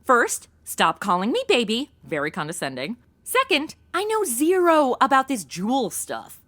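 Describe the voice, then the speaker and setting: A woman's voice: a little high, huge pitch swings, moderate pace, a bit bright, full character voice. An actress playing a flippant, ditzy modern young woman, sassy and unfazed, in a comedic audio drama. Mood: flippant, indignant. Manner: sassy, exaggerated modern slang delivery.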